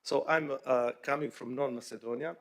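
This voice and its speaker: A man from Bosnia speaks English as an at a conference panel. He talks in a halting, conversational way, with frequent fillers and restarts. His voice sits at a middle pitch. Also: steady tone, vocal fry. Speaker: bank executive panelist.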